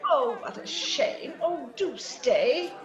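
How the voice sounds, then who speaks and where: An effusive, fluttery older mother acted by a woman, playing hostess in a radio play. The voice is very high and lively. It sounds echoey and a little muffled, as from a home video call. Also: slow, some chatter behind. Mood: fussy, sociable. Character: gushing, sociable older mother hosting a party. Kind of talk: old-time radio comedy-drama acting. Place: actor's home, recorded over video call.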